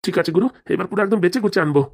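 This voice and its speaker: A woman's voice: lowish voice, racing. A woman playing a servile, defensive henchman in a comic cartoon, deferential and full of excuses. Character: servile, defensive male henchman of a crooked boss.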